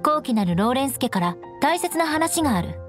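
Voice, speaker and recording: A haughty young aristocrat, high composed voice, frank and aloof, anime game with soft music. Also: female voice, big pitch swings, fairly quick. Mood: grandly formal. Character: proud, cool young noblewoman, frank and aloof.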